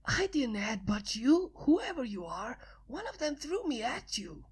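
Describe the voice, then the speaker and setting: Lady reading doing a cocky girl pegasus pony, high and breathy, drawn out. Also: big pitch swings, measured pace, full character voice. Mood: brash. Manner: brash, demanding questions. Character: brash tomboyish female pegasus pony, young and cocky. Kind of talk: fanfic reading, all voices by one reader.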